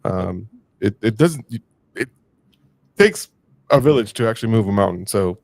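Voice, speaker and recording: A man co-hosting a podcast, with a gravelly voice, talking in a joking, halting way full of fillers. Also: fairly low pitch, expressive, darkish tone, no echo. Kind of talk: casual podcast conversation.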